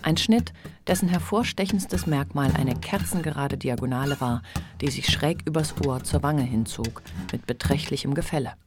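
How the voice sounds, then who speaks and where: Deep-voiced composed woman narrator. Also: moderate pace, few pauses. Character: composed literary narrator of a family story. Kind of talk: scripted literary narration in a German radio play. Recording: clearly noisy background.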